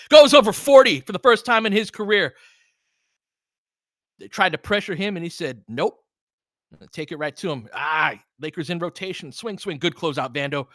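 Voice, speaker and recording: Bright-voiced man calling live basketball. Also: fairly high pitch, no room sound, clean studio sound. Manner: informal fan commentary, urging players on, chatting with listeners. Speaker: host of a basketball film-room audio stream. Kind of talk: live basketball play-by-play and analysis, casual.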